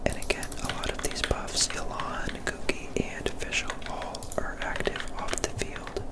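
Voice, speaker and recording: An ASMR gamer speaking in a breathy, unvoiced whisper, giving casual, explanatory gameplay commentary close to the mic. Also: measured pace. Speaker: gaming ASMR video creator.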